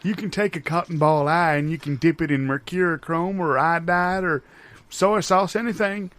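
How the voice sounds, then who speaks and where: A man playing a forgetful, folksy old grandpa who tells halting tall tales in improvised comedy. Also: on the high side, fairly bright tone, lightly acted. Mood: rambling, folksy.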